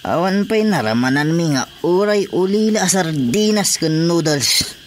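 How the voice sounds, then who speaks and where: A man's voice: fairly high pitch, expressive, a little slow, slight background noise. Grumbling village fisherman. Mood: complaining. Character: disgruntled male village fisherman with a grievance. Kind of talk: Ilocano radio drama acting.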